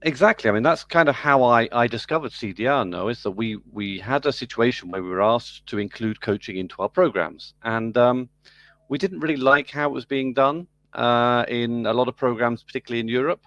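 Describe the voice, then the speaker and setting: A middle-aged British man from the UK, explaining his work in a conversational interview with measured pacing and occasional fillers. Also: mid-range voice, fairly bright tone, no room sound, lo-fi sound. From United Kingdom. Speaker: business school program director. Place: video call.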